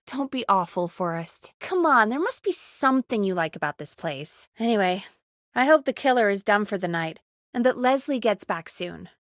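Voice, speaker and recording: An actress playing a friendly, teasing woman who produces a small-town radio show in a video game, talking in an earnest, expressive, chatty way. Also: medium pitch, normal speed, no room sound, studio quality, close to an everyday voice. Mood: warm, caring. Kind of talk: video game voice acting, conversational.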